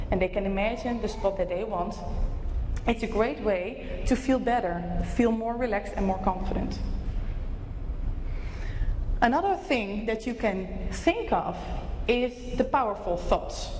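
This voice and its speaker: A woman's voice: mid-range voice, darkish tone. A woman from the Netherlands with a Dutch accent, a native Dutch speaker, giving an explanatory conference talk in simple phrases with practical examples. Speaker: clinical neuropsychologist giving a presentation. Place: conference hall, indoors. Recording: echoey hall with faint noise.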